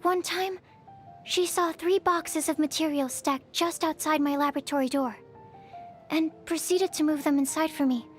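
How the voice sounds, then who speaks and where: A woman's voice: high-pitched, steady tone, normal speed, lightly acted. A woman voicing a shy, anxious, earnest young alchemist in a video game, with a timid, hesitant, bookish manner. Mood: shy, mildly anxious. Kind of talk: video game character voice lines. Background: music.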